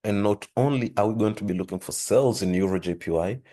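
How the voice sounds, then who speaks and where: A man hosting a trading lesson, talking steadily through charts with a hoarse voice and frequent throat-clearing. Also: lowish voice, quick pace. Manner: steady explanatory, repeats "with that being said". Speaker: forex trading channel host. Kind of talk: screen-share trading lesson.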